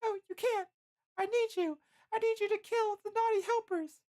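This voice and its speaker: A narrator voicing a distraught young heroine in an adventure story, pleading urgently in a shrill, drawn-out way. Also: steady tone, a little mellow. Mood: tender, worried.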